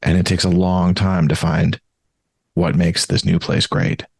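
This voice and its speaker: A man interviewing a guest with earnest, sympathetic questions. His voice is very low with a husky, gravelly edge. The sound is dry and close. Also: level tone, measured pace, a little mellow, dry recording. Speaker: podcast host.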